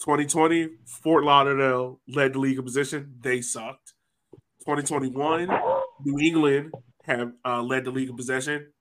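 A man's voice: medium pitch, steady tone, normal speed, a bit bright, a little hiss or hum, poor audio. A man on a casual sports podcast panel, talking in a blunt, colloquial, jokey way. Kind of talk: casual soccer discussion.

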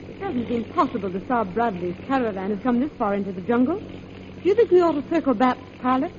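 An actress playing a commanding, excitable woman traveller in an old radio adventure, urgent and theatrical. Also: on the high side, fairly quick, lightly acted. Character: commanding, excitable female air traveller on a jungle search. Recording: muffled old radio recording, heavy noise.

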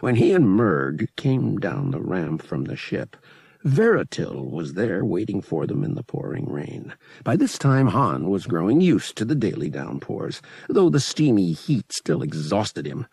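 A man narrates an audiobook with deliberate, dramatic expression. His low voice is husky. He takes his time, and his pitch swings widely through each sentence. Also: big pitch swings, a little mellow, dry recording.

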